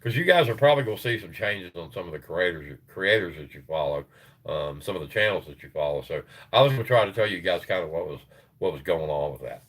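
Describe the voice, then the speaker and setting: A middle-aged man hosting a casual livestream, reading sources aloud and chatting loosely with his viewers. His voice is getting tired and hoarse. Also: sits low, lively intonation, fast talker, a bit bright. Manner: rambling, reads sources aloud, chats with viewers. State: voice tiring, gets hoarse. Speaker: livestream host.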